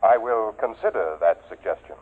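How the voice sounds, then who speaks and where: A man's voice: on the low side, moderate pace, a little hiss or hum, close to an everyday voice. A man playing a clinical, humourless robot psychologist in a formal, pedantic manner, in 1950s radio drama style. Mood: detached, clinical. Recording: tinny, band-limited 1950s radio recording.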